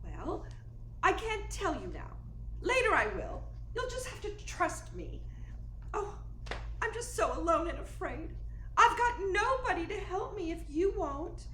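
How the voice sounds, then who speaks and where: A woman's voice: very high voice, sing-song, measured pace, fairly bright tone, heavily acted. A woman playing a secretive, helpless-sounding young femme fatale in a noir radio play, with a pleading throb in her delivery. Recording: roomy echo, clear background noise.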